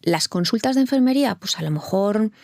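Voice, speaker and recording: Woman, middle-aged, low creaky voice, dry close mic, thoughtful podcast guest. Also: lively intonation, a little fast, darkish tone, no echo. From Madrid, Spain. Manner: thoughtful, repeats words while searching. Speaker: fertility nurse guest. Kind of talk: casual interview answers.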